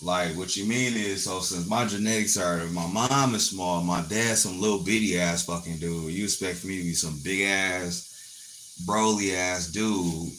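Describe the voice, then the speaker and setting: A man's voice: low voice, measured pace, rough recording. A man around forty on a live stream, chatting to viewers in a blunt, swearing storytelling rant from his phone. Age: 40s. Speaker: livestreamer. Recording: loud background noise with running water.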